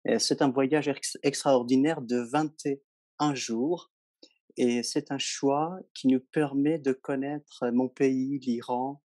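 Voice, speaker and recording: A man from Iran, a French-speaking tour guide, presenting a trip slowly with many hesitations. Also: medium pitch, a little mellow, no room sound. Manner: slow, hesitant, many fillers. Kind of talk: webinar presentation.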